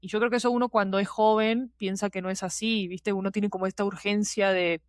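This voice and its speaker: A woman's voice: mid-range voice, steady tone, brisk, dry recording, studio quality. A woman gives a reflective, candid interview answer in colloquial speech, often checking that the listener follows. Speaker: podcast guest, fiction podcast producer.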